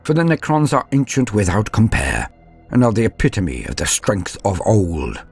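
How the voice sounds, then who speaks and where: Deep-voiced man narrating lore theatrically. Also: very animated, darkish tone, husky, faint music behind. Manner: theatrical, measured, formal. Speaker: host of a Warhammer lore guide. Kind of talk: scripted dramatic lore narration.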